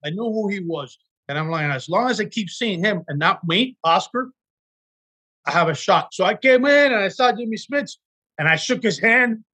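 A middle-aged man from Miami, a guest actor telling animated stories about auditions in a casual interview. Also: sits high, normal speed, husky. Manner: animated storyteller, acts out quotes. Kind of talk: casual podcast interview storytelling.

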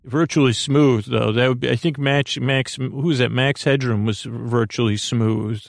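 A man with a creaky voice hosting a bedtime podcast in a soothing, halting monologue that meanders off into whimsical tangents. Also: medium pitch, steady tone, no echo, clean studio sound. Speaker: podcast host.

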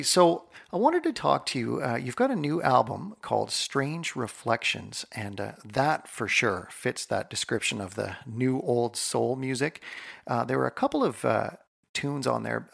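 Gravelly-voiced male podcast host. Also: mid-range voice. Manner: friendly, encouraging interviewer. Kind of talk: casual interview hosting.

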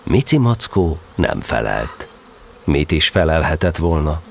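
A man playing a fatherly, gently amused storyteller, narrating a children's audio drama expressively. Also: rumbling low, very animated, gravelly, slight background noise, full character voice. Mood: storytelling.

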